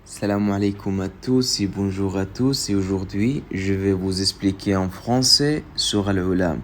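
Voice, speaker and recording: A man from Saudi Arabia whose first language is Arabic, introducing his video in French with an Arabic accent, halting and apologetic. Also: low voice, slight background noise. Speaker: vlogger.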